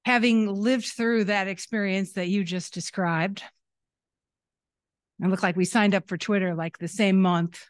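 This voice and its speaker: A woman from the audience asking a question at a conference, quick and articulate. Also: mid-range voice, steady tone, dry recording, clean studio sound.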